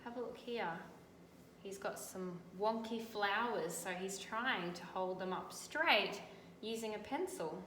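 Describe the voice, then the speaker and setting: An Australian woman teacher reading a picture book aloud and gently pointing out details in the pictures. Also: medium pitch, unhurried. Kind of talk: storybook read-aloud with commentary on pictures. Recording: echoey room, faint background noise.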